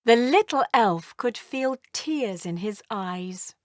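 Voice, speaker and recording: Woman reading a kids story, really expressive, takes her time, highish voice, dry close sound. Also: slow pace, dry recording. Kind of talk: scripted children's story narration, read aloud.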